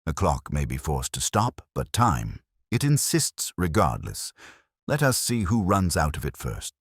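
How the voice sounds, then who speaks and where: Man voicing a very deep, calm inspector. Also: slightly rough, no echo, studio quality, heavily acted. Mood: calm, knowing. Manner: measured, aphoristic. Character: calm, philosophical male police inspector, quietly shrewd.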